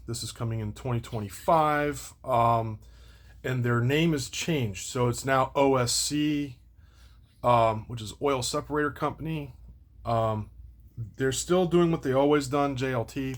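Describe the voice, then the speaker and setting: A man hosting a casual, unscripted unboxing and review, rambling and circling back to repeat his points. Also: medium pitch. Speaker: video host doing product unboxing and review.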